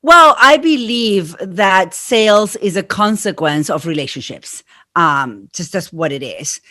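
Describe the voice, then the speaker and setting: A woman's voice: mid-range voice, lively intonation, measured pace, sharp tone, dry recording, clean studio sound. A middle-aged woman from Argentina, with an Argentine accent, explaining her approach earnestly as a podcast guest. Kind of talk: interview answers.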